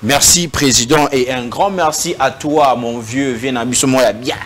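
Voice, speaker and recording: A man hosting a casual interview, firing quick true-or-false questions in a relaxed way. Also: mid-range voice. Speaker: interview host. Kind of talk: casual interview in French mixed with Lingala. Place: indoor studio set.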